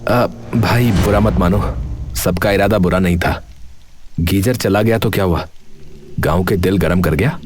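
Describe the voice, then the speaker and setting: A man playing a crafty, excitable young villager in a cartoon story, speaking in a colloquial, exclamatory way. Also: low-pitched, measured pace. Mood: conciliatory. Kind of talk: animated cartoon story dialogue. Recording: clear background music under voice. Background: background music.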